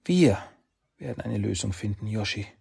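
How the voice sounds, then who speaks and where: A man's voice: fairly low pitch, steady tone, normal speed, vocal fry, mellow, breathy edge, heavily acted. A man voicing a loyal young woman who speaks with tender resolve. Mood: resolute.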